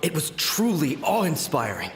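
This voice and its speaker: A man's voice: a little high, very animated, normal speed. A man voicing a staunch, honorable samurai soldier in an anime video game, formal and rallying, with echo. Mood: resolute. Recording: echoey voice over faint music.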